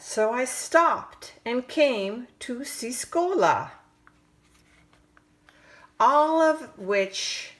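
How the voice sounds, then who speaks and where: A woman reads a children's storybook aloud, taking her time over every phrase. Her pitch moves up and down in an animated way, and she draws words out dramatically with pauses for suspense. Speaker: parent reading a picture book aloud.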